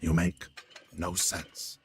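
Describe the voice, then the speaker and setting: Very deep-voiced male streamer. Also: monotone, slow pace, a little hiss or hum. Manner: joking, talks to himself, tests his mic. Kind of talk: casual gaming livestream chat.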